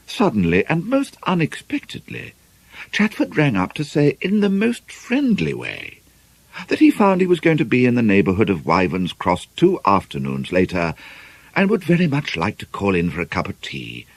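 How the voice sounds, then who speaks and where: Low-voiced, husky male audiobook narrator. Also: no echo. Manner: measured, expressive storytelling with deliberate emphasis. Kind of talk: scripted novel narration.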